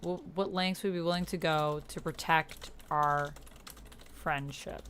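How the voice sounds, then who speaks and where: Low-pitched woman streaming over noisy keyboard clatter. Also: fairly even intonation, unhurried, fairly bright tone. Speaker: streamer and player of a text-based roleplaying game. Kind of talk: casual livestream chat, reading messages and typing roleplay aloud. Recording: noticeable background noise with keyboard typing. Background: computer keyboard typing.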